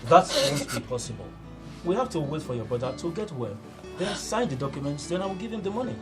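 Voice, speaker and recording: Actor as a hard-hearted uncle, fast-talking and a little high. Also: male voice, big pitch swings, music in the background. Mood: dismissive. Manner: curt, dismissive. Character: cold, stubborn middle-aged uncle.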